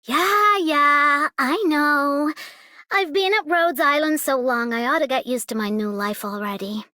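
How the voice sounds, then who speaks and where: A woman's voice: high-pitched, unhurried, sharp tone, no echo. A woman voicing a mischievous young girl prankster in a video game. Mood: sheepish. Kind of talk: scripted game character voice line.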